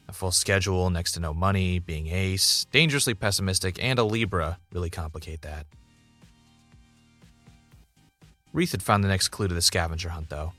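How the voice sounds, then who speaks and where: A man's voice: low voice, a bit bright. A man playing a pessimistic, suspicious gamer, telling his story as introspective, dramatic first-person narration in a fiction podcast. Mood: brooding. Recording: music under the voice.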